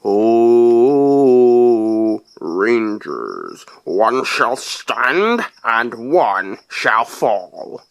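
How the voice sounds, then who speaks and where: A man who has been sick putting on a deep, slow, solemn voice for a heroic male robot leader. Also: very animated, gravelly, heavily acted. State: voice deepened from illness.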